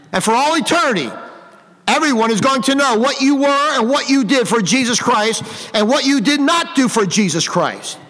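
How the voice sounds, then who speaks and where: A man's voice: very high-pitched, bright, forceful tone. A man preaching a fiery, old-fashioned sermon in a stern, emphatic way, repeating phrases for effect. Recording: hall reverb on the voice.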